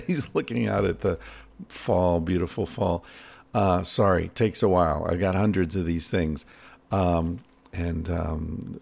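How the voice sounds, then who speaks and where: A man with a very low, gravelly voice hosts his own podcast. He talks casually and playfully, cracking jokes between listener greetings and an ad read. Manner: playful, joking, animated asides. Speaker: podcast host.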